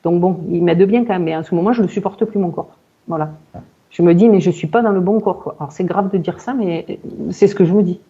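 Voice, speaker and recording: A woman in her forties giving a halting personal account in conversation, searching for words and often repeating herself. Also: lowish voice, mellow, breathy edge, dull audio. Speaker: client in a hypnosis session interview.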